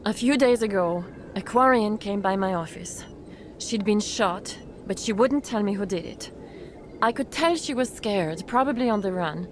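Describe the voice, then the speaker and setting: A woman's voice: mid-range voice, normal speed, slight background noise, lightly acted. An actress playing an earnest, shaken female doctor in a video game, explaining things carefully. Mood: anxious, explaining. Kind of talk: video game cutscene acting.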